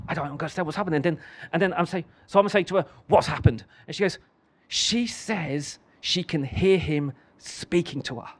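A man preaching a sermon, telling a story with many restarts and then building to emphatic declarations. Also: sits high.

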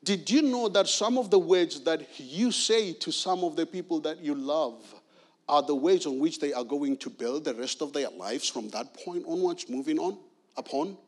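A man's voice: fairly high pitch, animated, normal speed. A middle-aged man from South Africa preaching a sermon with emphatic, repeated key phrases.

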